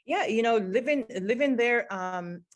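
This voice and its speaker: A woman's voice: a little high, lively intonation, a little slow. A woman who grew up in Jamaica, a teacher, answering interview questions in an earnest, reflective way with many fillers. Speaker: guest, teacher.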